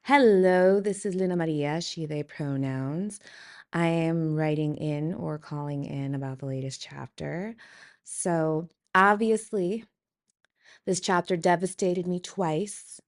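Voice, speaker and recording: A woman with a slightly low voice records a casual voice message as a fan. She is dramatic and gushing, full of enthusiasm. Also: slow. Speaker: listener sending a voice message.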